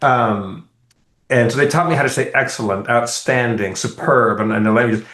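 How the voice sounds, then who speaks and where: Brief: male podcast co-host, mid-pitched, animated and profane storyteller, echoey room. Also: moderate pace. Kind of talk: casual chat, telling a travel story. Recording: roomy echo.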